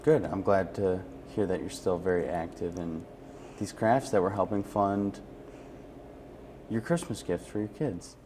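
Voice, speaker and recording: A man's voice: low voice, measured pace, darkish tone, slight background noise. A man hosting a friendly conversational interview in an encouraging, affirming manner. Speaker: interviewer and host.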